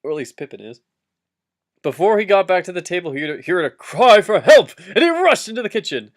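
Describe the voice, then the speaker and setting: A man's voice: high-pitched, big pitch swings, fairly quick. A man doing a comic dramatic reading of fan fiction, giggly and often breaking off to heckle the text with asides.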